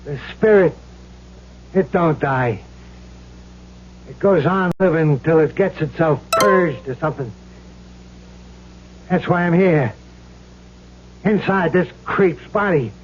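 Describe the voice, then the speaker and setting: An actor playing a cruel, mocking ghost of a gangster swindler in an old-time radio drama, husky and full of slangy tough-guy menace. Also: a little high, slow, long pauses. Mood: gloating menace. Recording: dull lo-fi old radio recording.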